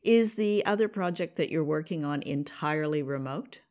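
A woman's voice: low-pitched, normal speed, a little mellow. A woman hosting a podcast, speaking in an interview conversation. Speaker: podcast host and producer.

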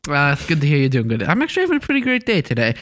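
A male co-host with a playful, boastful streak. His voice sits slightly high and swings up and down a lot. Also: wildly swooping pitch, rapid-fire, fairly bright tone. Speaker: student podcast co-host. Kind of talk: casual chat.

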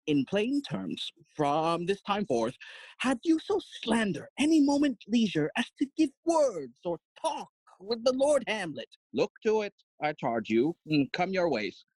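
A woman playing a fussy, long-winded old father and counsellor in a classical Shakespeare audio play, husky and halting, with little hums and chuckles. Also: a little high, darkish tone, no echo, heavily acted, poor audio. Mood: stern, admonishing. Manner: theatrical, halting, chuckling and humming between phrases.

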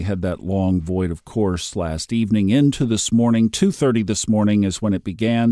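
A man's voice: on the low side, warm tone, no echo, clean studio sound. A man in his fifties hosting a daily astrology podcast, chatty and upbeat, laughing easily. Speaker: podcast host.

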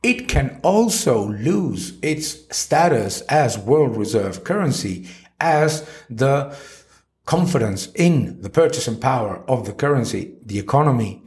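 A man's voice: medium pitch, big pitch swings, a little slow, a little mellow. A man reading an opinion article on economics aloud in an emphatic, argumentative manner. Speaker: article narrator.

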